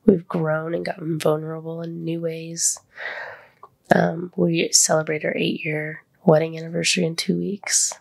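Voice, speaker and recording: A woman hosting a podcast in casual, heartfelt chat. She is congested and her voice sits deeper than usual, and she talks openly and vulnerably, close to tears. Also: little pitch movement, moderate pace, creaky. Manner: open and vulnerable, near tears. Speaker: podcast host.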